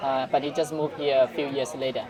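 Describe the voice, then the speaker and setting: A young man with a Thai accent, a Buddhist monk, talking haltingly in an interview as he searches for words. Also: medium pitch, fairly even intonation, low-quality recording. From Shan State, Myanmar, raised in Thailand. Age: young adult. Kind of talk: casual interview conversation. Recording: busy crowd noise around. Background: crowd chatter.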